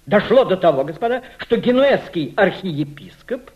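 An actor playing a solemn, awed gentleman in a radio play, declaiming emphatically with dramatic pauses. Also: high-pitched, big pitch swings. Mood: awed, alarmed. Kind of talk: Russian radio play acting. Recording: dull old radio drama recording.

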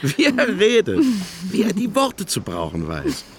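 Effusive violinist, extremely animated pitch, theatrical, flirtatious, radio play, slight background noise. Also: male voice, measured pace, heavily acted. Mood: exuberant, delighted. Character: exuberant, flirtatious male violinist, gushing admirer.

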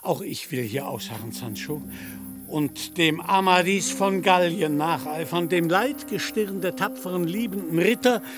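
An actor playing a pompous, deluded old knight-errant in a radio play, lofty and declamatory. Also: male voice, high voice, animated, unhurried, music in the background. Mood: solemn, fervent. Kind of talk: German radio play acting.

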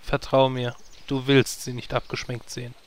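A man's voice: medium pitch, completely flat delivery, slight background noise, lightly acted. An actor playing a vain young male druid hero with a wry, sarcastic way of talking in a comedy audio drama. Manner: wry, sarcastic, quick asides.

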